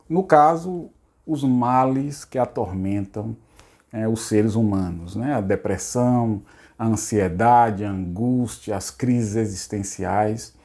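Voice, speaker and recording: Middle-aged man, hoarse and mellow, rambling psychologist host, rhetorical. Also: lowish voice. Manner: repetitive, rhetorical, casual asides. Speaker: psychologist hosting a channel. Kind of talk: unscripted spiritual self-help talk.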